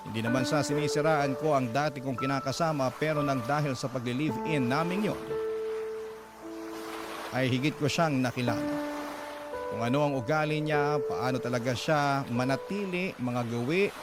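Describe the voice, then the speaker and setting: A man's voice: medium pitch, normal speed, natural voice. A man voicing a letter writer, a mother recounting past heartbreak, as first-person radio drama narration. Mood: reflective recounting. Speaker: narrator reading a letter sender's story. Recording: loud music bed under voice.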